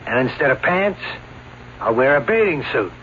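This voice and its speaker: An actor playing a brash, cocky escape artist who talks in streetwise slang, in a vintage radio drama. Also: male voice, medium pitch, big pitch swings, moderate pace, lightly acted. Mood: cocky, scheming. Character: brash, boastful male escape artist and showman, married. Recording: dull, noisy old radio drama recording.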